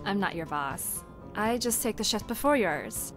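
A woman's voice: mid-range voice, lively intonation, a little slow, soft background music, lightly acted. A woman playing a blunt, casual shop co-worker in an audio drama, unfussy and plain-spoken. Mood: curt, amused. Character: curt, casual shop co-worker ending her shift.

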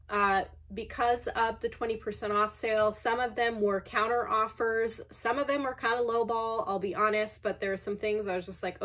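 A woman's voice: on the high side, a bit bright. A woman in her thirties, a bit congested and sniffly, chatting casually as she packs her online sales, with fillers and self-deprecating asides. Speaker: online reseller. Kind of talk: chatty packing vlog. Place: large cluttered indoor workspace.

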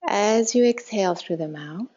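A woman leading a guided meditation in a calm, measured way, with short instructions and soft phrase endings. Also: mid-range voice, slightly rough, dull audio. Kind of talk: guided meditation, slow spoken instructions with long pauses.

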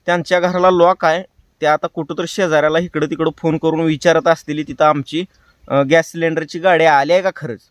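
A man's voice: fairly high pitch, fairly bright tone, no echo. A man with a rural Maharashtra Marathi accent, hosting prank calls and chatting casually to his viewers with colloquial tag phrases. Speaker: prank call show host.

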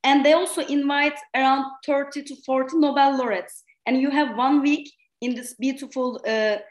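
A woman's voice: sits high, steady tone, normal speed, roomy sound, low-quality recording. A woman in her thirties from Turkey with a Turkish accent, a lecturer giving an informal scientific presentation online. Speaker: university lecturer and invited speaker. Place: indoor room, remote connection to a virtual event.

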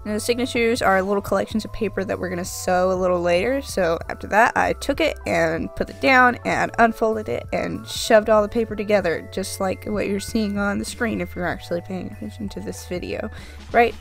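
A young woman with a stuffy, sniffly nose talks into a desk microphone in a casual, rambling voice-over. She jokes at her own expense in a mid-pitched voice while faint music plays underneath. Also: brisk, vocal fry. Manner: rambling, self-deprecating, chatty. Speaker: craft vlogger.

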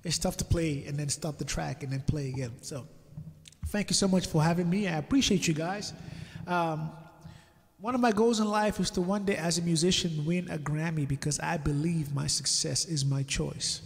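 A man's voice: a little high, moderate pace, creaky, in a large hall. A young man from Nigeria playing and singing at home into a stand mic, with joking patter between songs. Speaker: musician performing. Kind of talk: live acoustic song set with banter. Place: living room at home. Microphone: stand mic in front of him. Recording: loud guitar over the voice. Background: his own acoustic guitar.

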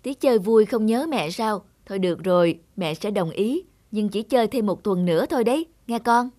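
A woman voicing a gentle, lonely village mother in a children's cartoon, speaking softly and affectionately. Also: mid-range voice, lively intonation, moderate pace, dry recording, studio quality, close to an everyday voice. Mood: fond. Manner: soft, patient, affectionate. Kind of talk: dubbed children's cartoon dialogue. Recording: music behind the voice.

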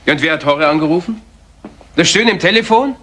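An actor playing a brisk, impatient police sidekick in a retro German radio crime play, firing direct questions. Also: male voice, a little high, expressive, close to an everyday voice. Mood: impatient, puzzled. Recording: noisy vintage radio play recording.

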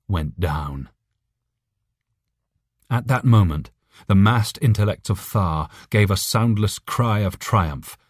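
Deep, husky male audiobook narrator. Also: a little mellow, no echo, studio quality. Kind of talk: scripted narration of a short story.

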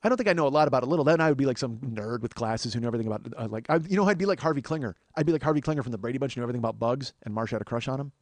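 A man's voice: mid-range voice, expressive, rapid-fire, few pauses, a little mellow, no echo. A middle-aged man hosting a comedy podcast, rambling casually and profanely, interrupting himself with digressions and getting worked up. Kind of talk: rambling comic monologue, casual chat.

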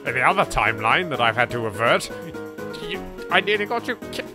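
A man's voice: medium pitch, very animated, a little fast, music in the background. A man with an English accent hosting a let's play, giving theatrical, wry, playful commentary.

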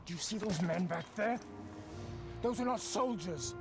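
Exasperated high-pitched mercenary captain. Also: male voice, expressive, measured pace, gravelly, exaggerated voice. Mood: exasperated, alarmed. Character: exasperated male mercenary captain, coin-minded and wary. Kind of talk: dramatic video game acting. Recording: music behind game dialogue.

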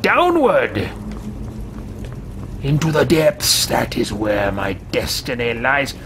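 A man's voice: mid-range voice, very animated, takes it slowly, background noise. A man voicing a haughty, easily frustrated old fantasy adventurer in a video game, with pompous, archaic, theatrical speech. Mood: grandly smug. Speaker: gaming streamer roleplaying a character. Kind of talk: in-character roleplay game commentary. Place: small room with packed shelves, indoors.

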